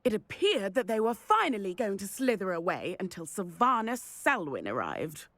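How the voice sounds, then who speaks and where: An actress playing an indignant, fretful village shopkeeper in a video game, speaking in a formal, emphatic way. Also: female voice, mid-range voice, sing-song, normal speed, a bit bright, no room sound. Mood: indignant, exasperated. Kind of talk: video game cutscene acting.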